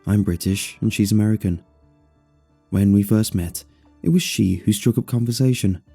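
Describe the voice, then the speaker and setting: Low, very dark-voiced male storyteller. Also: normal speed, vocal fry, husky. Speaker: horror story narrator. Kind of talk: scripted first-person horror story narration. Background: faint music.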